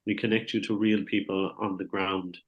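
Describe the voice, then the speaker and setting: A man's voice: low-pitched, level tone, moderate pace, highs cut off. A man co-hosting an online event, speaking in a friendly way with stumbling restarts over a video call. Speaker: co-host. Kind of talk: casual chat on an online event.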